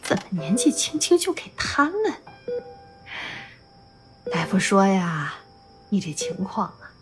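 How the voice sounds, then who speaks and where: A woman's voice: medium pitch, huge pitch swings, slow pace. A woman voicing a kindly, talkative middle-aged caregiver in a radio drama, fussing over a patient. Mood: sorrowful. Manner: fussy, warm-hearted chatter. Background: music.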